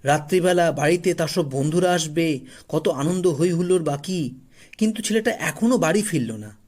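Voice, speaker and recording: A man narrates a scripted horror audio story. His voice is fairly high for a man and husky, in a storyteller's delivery. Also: expressive, normal speed, a little mellow.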